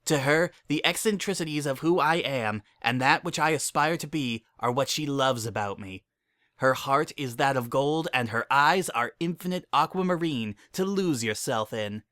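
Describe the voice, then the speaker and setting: A man's voice: medium pitch, normal speed, fairly bright tone, dry recording, clean studio sound, voice pushed up, natural voice. A man voicing an adoring female unicorn in a story, speaking in an earnest, heartfelt, speech-making way. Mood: tender, adoring.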